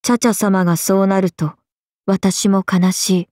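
A woman voicing an earnest, airheaded, stoic young swordswoman in an anime game, speaking in a plain deadpan way. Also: mid-range voice, measured pace, no room sound, studio quality, close to an everyday voice. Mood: wistful. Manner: deadpan, plain, slightly blunt. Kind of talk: anime game character voice acting.

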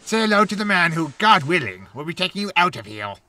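A man's voice: high-pitched, sing-song, fast talker, pushed and bright, distant mic, exaggerated voice. An actor playing a smarmy, irritable male used-spaceship salesman in a sci-fi comedy audio drama. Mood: exasperated.

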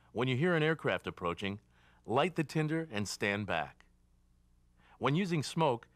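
A man reading scripted instructional narration for a training film in a measured, didactic way. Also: mid-range voice, a bit bright, no echo. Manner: measured, didactic, enumerating points. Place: studio voice-over.

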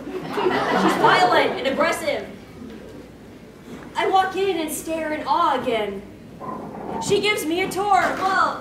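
A young woman hosting a panel, reading comic fan fiction aloud in a theatrical, halting way. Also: extremely high pitch. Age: young adult. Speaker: cosplay panel host. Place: convention panel room stage, indoors. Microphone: far camera. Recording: distant, echoey panel room, loud noise, crowd.

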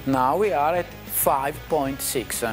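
A man's voice: fairly high pitch, slow, over music. A man with an Italian accent explaining safety tests in an indoor test lab, hesitant with many fillers. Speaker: company representative. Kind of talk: explaining on a factory tour.

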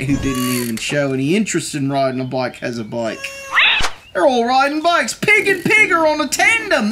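A man in his thirties reading a children's book aloud in a theatrical, jokey manner, with playful asides. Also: very high voice. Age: 30s. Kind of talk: expressive storybook read-aloud with playful asides. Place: living room, seated on a couch.

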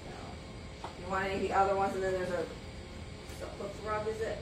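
A woman's voice: mid-range voice, little pitch movement, fast talker. Middle-aged woman doing a live antiques sale, chatty, rattling off prices, echoey shop with noise around. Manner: chatty, reads out prices and sizes while handling items. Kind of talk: live sales stream, casual item pitching. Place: cluttered antiques shop booth, indoors. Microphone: phone or camera on a stand, moving around in frame. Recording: roomy shop sound with background noise.

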